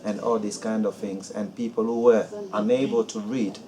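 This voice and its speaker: A man from Congo giving earnest, steady interview answers about community work. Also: lowish voice, normal speed, slight background noise.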